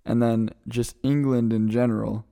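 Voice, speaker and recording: A man's voice: mid-range voice, level tone, moderate pace, creaky, dark tone. A young man from the American Midwest, answering interview questions in an eager, chatty way and laughing easily. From Midwest United States. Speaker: guest, returned missionary.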